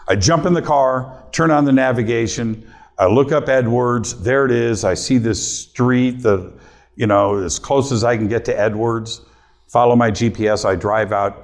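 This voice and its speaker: A middle-aged man, a radio host, telling a story to a live audience and acting out his own quotes. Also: fairly low pitch. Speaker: radio host giving a talk.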